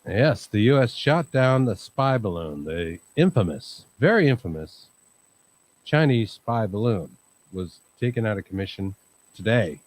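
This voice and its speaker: A man hosting a live chat show, talking in a calm, rambling way with many fillers. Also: lowish voice, a little slow. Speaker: host. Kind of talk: casual livestream chat.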